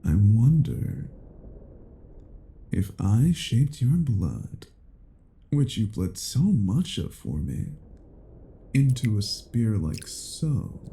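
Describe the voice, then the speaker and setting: A man playing a cruel, haughty vampire in a dramatic roleplay, speaking in a deliberate, menacing and condescending way. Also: on the low side, vocal fry, mellow, slight background noise, heavily acted. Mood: cruel, threatening. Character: cruel, haughty male vampire toying with his captive. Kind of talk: dramatic roleplay audio acting.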